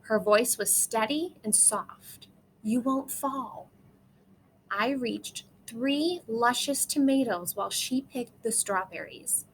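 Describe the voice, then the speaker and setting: A woman's voice: on the high side, slow, few pauses, a little hiss or hum, low-quality recording. A woman reading a children's storybook aloud, expressively acting out the dialogue and sound words.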